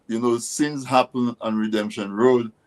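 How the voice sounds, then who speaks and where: A man hosting a conversational radio interview, speaking in halting, deliberate phrases. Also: medium pitch, steady tone. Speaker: interview show host.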